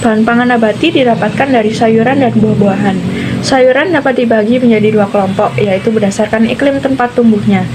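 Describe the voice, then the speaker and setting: A young woman with a fairly high voice. She speaks as a student podcast co-host, delivering scripted lesson talk in conversational form. The recording has clear vehicle-like background noise. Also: a little fast. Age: young adult. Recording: noisy, vehicle-like ambient rumble.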